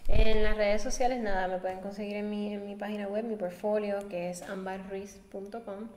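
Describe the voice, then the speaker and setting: A woman's voice: mid-range voice, fairly even intonation, moderate pace, a little mellow. A woman, a designer being interviewed, answering thoughtfully and searching for her words while seated at a table. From Puerto Rico. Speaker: guest designer. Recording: roomy echo with long reverb.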